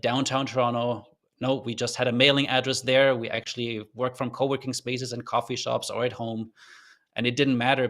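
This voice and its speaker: A man's voice: mid-range voice, a bit bright. A man with a faint German accent, a podcast guest telling his story in a thoughtful, earnest way with loose fillers and restarts. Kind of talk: reflective storytelling in an interview.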